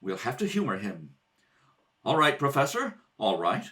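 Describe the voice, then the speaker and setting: A man playing a brave, steady athlete hero in an old-time radio adventure, earnest and reassuring. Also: mid-range voice, moderate pace, voice pushed down. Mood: calm, wary.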